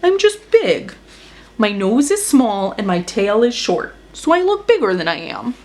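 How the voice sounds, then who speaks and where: A woman's voice: fairly high pitch, very animated, unhurried, darkish tone. A woman voicing an indignant, grumbling big male elephant in a children's folktale. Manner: indignant, protesting.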